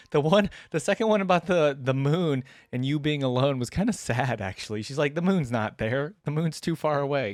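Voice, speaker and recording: A man gives casual reaction commentary as a video host. His voice is slightly on the low side and recorded very dry. He muses conversationally and puts questions to his viewers. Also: no room sound.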